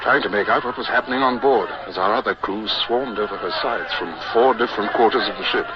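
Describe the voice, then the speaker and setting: An actor playing a young British naval officer who recounts his fears in grave, measured, earnest narration for a radio drama. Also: on the low side, lightly acted. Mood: tense, dread. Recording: muffled, noisy old radio recording.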